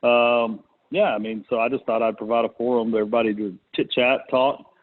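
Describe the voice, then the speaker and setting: A man hosting a business conference call in casual, unscripted talk, speaking hesitantly with thinking pauses. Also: mid-range voice, steady tone. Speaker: conference call host, real estate investor. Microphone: conference call connection. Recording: muffled, narrow phone-line sound.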